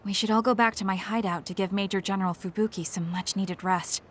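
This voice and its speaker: A woman's voice: medium pitch, steady tone, a little fast, a little hiss or hum, natural voice. An actress voicing a cool, practical young woman fighter in an anime game, direct and matter-of-fact. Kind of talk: English anime game voice acting.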